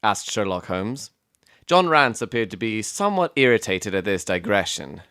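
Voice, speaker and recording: A man plays a doctor telling of his detective companion in a dramatized storybook. His narration is measured, observant and literary. The voice is on the low side, with a dry, roomless sound. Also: big pitch swings, fairly bright tone, clear, resonant tone, dry recording. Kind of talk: scripted first-person storybook narration.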